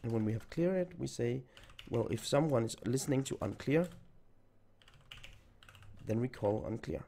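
Man losing his voice, gravelly. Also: medium pitch, lively intonation, normal speed, warm tone, slight background noise. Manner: thinks aloud while typing code. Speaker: programming tutor. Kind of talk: screen-recorded coding tutorial.

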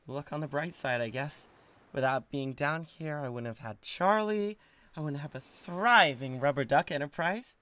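A man's voice: a little high, normal speed, pushed and bright. A man voicing a lovestruck, awkward devil king of Hell in an intimate ASMR roleplay, hesitant and stammering. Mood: excited, giddy. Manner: hesitant, stammering, swinging from tender to giddy. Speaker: audio roleplay voice actor.

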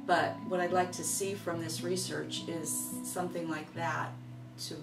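A woman's voice: lowish voice, level tone, unhurried, vocal fry. A middle-aged woman speaking casually in an interview about her own work. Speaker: author of a local history book, interviewee. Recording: echoey, distant mic, loud music underneath. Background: guitar music.

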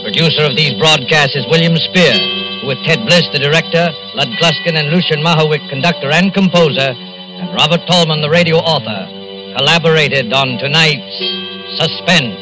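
A man's voice: fairly high pitch, moderate pace, low-quality recording. Formal male radio announcer over music. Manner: formal, dramatic. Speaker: narrator and announcer. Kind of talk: 1940s radio announcing, scripted.

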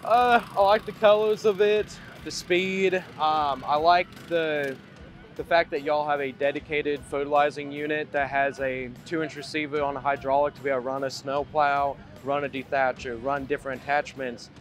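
Young man, casual testimonial, higher voice, outdoors, faint music. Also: steady tone, normal speed. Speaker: lawn landscape business attendee. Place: outdoors at a trade expo.

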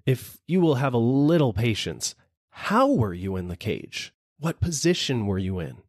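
A man voicing a sly little storybook jackal who acts slow-witted, vacant and overly apologetic. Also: medium pitch, big pitch swings, no room sound, studio quality, pitched above the performer's own voice. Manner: vacant, apologetic, exaggeratedly puzzled.

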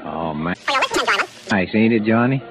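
A man's voice: on the low side, gravelly, over a noisy crowd, heavy background noise. An actor playing an easygoing, sly male gambler informant in slangy old radio drama style. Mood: relaxed, chummy. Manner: slangy, drawn-out casual talk with teasing interjections. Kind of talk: 1950s radio drama acting. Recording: narrow, band-limited old radio recording.

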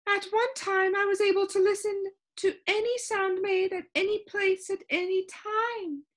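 A woman voicing a gentle, talkative, dreamy lady who adores silence, in a soft singsong storybook voice. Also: very high-pitched, fairly even intonation, a little slow, voice pushed up. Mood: breezy, wistful. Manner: wistful, genteel, chatty.

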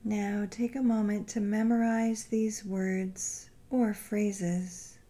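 A woman guiding a meditation in a gentle, soothing, unhurried way, leaving long pauses between phrases. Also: medium pitch, little pitch movement. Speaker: meditation guide. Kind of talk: guided meditation narration.

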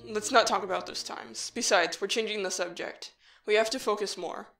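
A woman playing an earnest, cautious human child in a fan audio drama, measured, serious and matter-of-fact. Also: mid-range voice, vocal fry, a bit bright, soft background music. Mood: questioning.

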